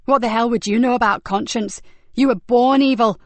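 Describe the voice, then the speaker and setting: A woman voicing a tough, fierce female police officer who speaks forcefully and urgently. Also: a little high, steady tone, moderate pace, no echo, natural voice. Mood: furious.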